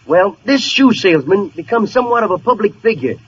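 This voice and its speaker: Brash, high-voiced gangster boss. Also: male voice, sing-song, a little fast, a bit bright, husky, exaggerated voice. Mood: wry storytelling. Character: brash small-time gangster boss, indignant, wisecracking gambling operator. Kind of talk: 1940s radio comedy acting. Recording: muffled, noisy 1940s radio recording.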